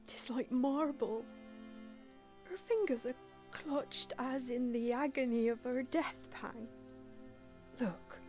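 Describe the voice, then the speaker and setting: A woman's voice: high-pitched, darkish tone, full character voice. A woman playing an anguished, grief-mad mother in a Victorian melodrama, declaiming every line. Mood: hushed horror. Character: grief-mad mother cursing her daughter's murderer. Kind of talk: Victorian melodrama audio acting.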